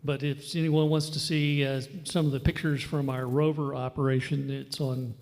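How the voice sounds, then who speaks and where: A man's voice: mid-range voice, flat delivery, normal speed, darkish tone. A man making an informal remark from the audience at a meeting. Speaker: audience member. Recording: echoing hall with long reverb.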